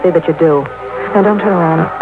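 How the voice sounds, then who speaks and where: A woman's voice: fairly low pitch, fairly quick, natural voice. A woman playing a tough, menacing female crook, hard-boiled and slangy, in old radio crime drama style. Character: tough, menacing female card sharp with a pistol. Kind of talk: 1940s-50s radio crime drama acting. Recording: tinny old radio broadcast with music.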